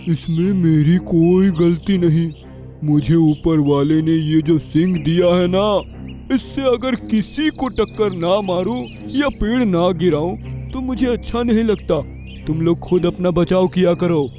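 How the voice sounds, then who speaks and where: Cartoon beast villain, male actor: high-pitched, slow, taunting and menacing. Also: mellow, soft background music. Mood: gloating, defiant. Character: sneering villain wolf and mischievous bully rhinoceros. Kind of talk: Hindi cartoon story voice acting.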